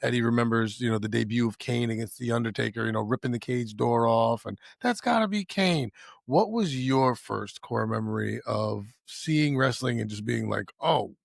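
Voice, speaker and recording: A man's voice: medium pitch, moderate pace, gravelly, no echo, clean studio sound. A man co-hosting a podcast, asking questions in a rambling, friendly way with frequent restarts. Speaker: podcast co-host. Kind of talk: casual interview chat.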